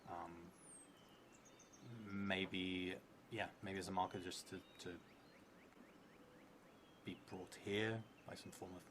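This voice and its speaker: A man's voice: low voice, little pitch movement, takes it slowly, long pauses. A man in his thirties improvising a story aloud for a solo tabletop game, hesitant and musing, often trailing off. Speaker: solo tabletop roleplay player and streamer. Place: indoors at a table in a theatre room. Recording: clearly noisy room recording.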